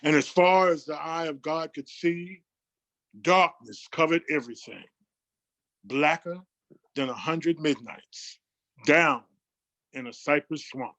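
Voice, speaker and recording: A man playing a grand reverend, reciting a poem like a sermon with deliberate, emphatic storytelling. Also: fairly high pitch, a bit bright, no room sound, lo-fi sound. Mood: grand, reverent. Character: grand male reverend comforting his congregation with poetry. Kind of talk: dramatic sermon-like poetry recitation.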